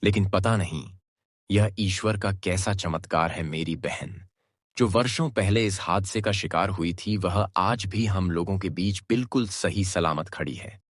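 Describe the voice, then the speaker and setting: A man's voice: low-pitched, a little slow, no echo, clean studio sound. A man playing a grieving, solemn younger brother in a cartoon story. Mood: pained, solemn. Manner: pained, solemn.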